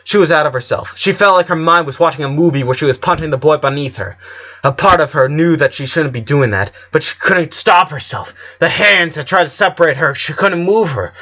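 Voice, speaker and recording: Bright, forceful man narrating a story. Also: on the high side, a little fast, muffled recording. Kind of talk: scripted story narration, audiobook reading.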